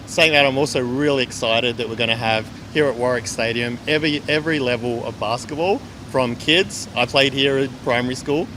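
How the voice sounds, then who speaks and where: Australian man speaking over heavy background noise. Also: medium pitch. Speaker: basketball club owner. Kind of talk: press conference speech. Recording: very noisy outdoor-sounding ambience.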